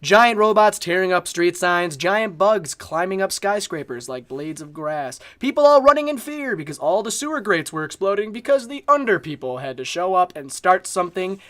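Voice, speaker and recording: A man acting a frazzled, deadpan eyewitness telling a colourful tale in a parody news interview for a radio play. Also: high-pitched, moderate pace, few pauses, heavily acted. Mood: weary, dramatic. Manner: colourful, weary storytelling.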